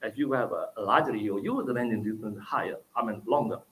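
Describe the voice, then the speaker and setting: A man's voice: lowish voice, fairly bright tone, a little hiss or hum, poor audio. Indonesian-accented man giving a technical talk. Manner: explanatory, frequent "okay?" and "right?" tags, halting fillers. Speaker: conference presenter. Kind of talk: technical conference presentation.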